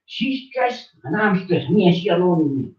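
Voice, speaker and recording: A man's voice: sits high, lively intonation, normal speed, darkish tone. Fiery ranting man in an echoey room. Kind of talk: impassioned rant. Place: small study room with bookshelves, indoors. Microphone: far room camera. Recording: echoey, distant, dull room recording.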